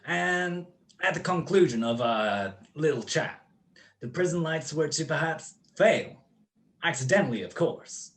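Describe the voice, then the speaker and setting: A man's voice: on the high side, expressive, a little slow, a bit bright. A man acting a smug, cold-blooded criminal mastermind in a radio play, with precise, mocking, grandiloquent speech. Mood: sly, smooth.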